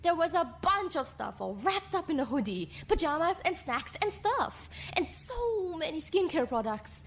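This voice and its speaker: A woman playing a blithe young woman who drawls her emphasis in an animated mystery. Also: very high-pitched, moderate pace, a little hiss or hum, full character voice. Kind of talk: animated murder-mystery voice acting.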